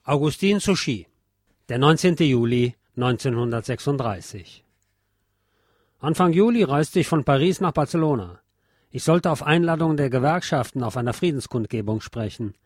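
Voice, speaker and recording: A man's voice: mid-range voice, animated, moderate pace, dry recording, studio quality. A man reading a scripted historical narration aloud as a narrator.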